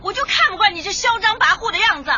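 An actress in a radio drama playing an eager young community officer, expressive and outspoken. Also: female voice, very high voice, fast talker, dull audio, crowd noise, background noise. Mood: indignant. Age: young adult.

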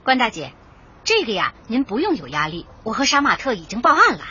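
An actress playing an encouraging neighbourhood committee director in a radio comedy, speaking in tidy officialese. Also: female voice, on the high side, huge pitch swings, a little fast, pushed and bright, breathy edge, dull audio, exaggerated voice. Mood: helpful, encouraging. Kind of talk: radio comedy drama acting.

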